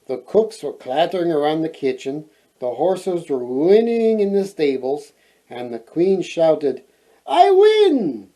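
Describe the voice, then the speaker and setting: A man reading a children's fairy tale aloud in a playful, expressive way, adding joking asides. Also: on the high side, poor audio. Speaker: storyteller, host.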